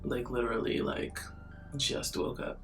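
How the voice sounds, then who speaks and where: Just-woken woman with a low morning voice. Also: measured pace, faint music behind. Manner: chatty, rambling and playful. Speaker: beauty vlogger. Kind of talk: casual chat to camera.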